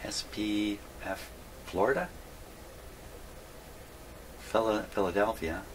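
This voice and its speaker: A man's voice: fairly low pitch, fairly even intonation, slow pace, a little hiss or hum, low-quality recording. A man casually narrating his hands-on repair work as a video host, rambling and thinking aloud to himself. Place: indoor home workshop.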